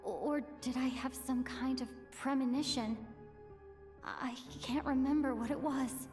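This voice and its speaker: Young game heroine, puzzled and introspective, kinda high voice, soft music behind. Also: female voice, steady tone, unhurried. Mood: uneasy, reflective. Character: puzzled, introspective young woman with eerie premonitions. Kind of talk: scripted game voice acting.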